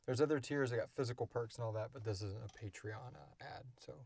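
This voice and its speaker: Low-pitched man in casual, dry-sounding chat. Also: fast, vocal fry, darkish tone, no echo. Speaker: podcast host and audio drama creator. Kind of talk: casual chat answering listener questions.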